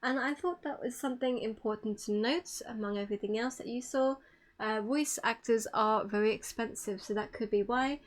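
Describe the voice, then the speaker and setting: High-voiced woman giving a presentation. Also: moderate pace. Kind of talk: informal online presentation.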